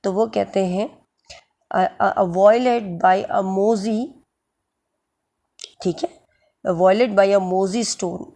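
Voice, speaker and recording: Hoarse indian teacher lady, slow and gravelly, dark mellow voice, keeps asking if it's clear. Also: medium pitch. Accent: Indian English. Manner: slow, explaining word by word, checks understanding. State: hoarse throat. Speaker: English literature teacher. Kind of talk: exam-prep lecture in mixed Hindi and English.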